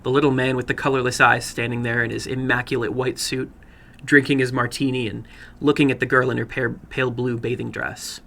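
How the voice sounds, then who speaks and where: A man narrating a short horror story dramatically, with a theatrical, deliberate delivery. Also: medium pitch, level tone, vocal fry, pushed and bright. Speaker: storyteller, horror fiction broadcast host.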